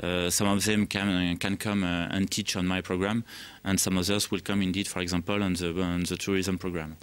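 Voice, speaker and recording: Male academic director, French accent, lowish voice, non-native speaker feeling his way through interview answers. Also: level tone, clean tone. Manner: halting, searching for words.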